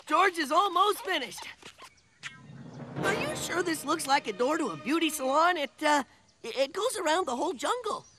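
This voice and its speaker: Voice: high voice, big pitch swings, a little slow, long pauses, sharp tone, soft background music, heavily acted. An actor voicing a friendly, dim-witted jungle man in a cartoon, naive, eager and sometimes hesitant. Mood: naive delight. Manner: naive, hesitant, speaks of himself in third person. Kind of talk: animated cartoon acting.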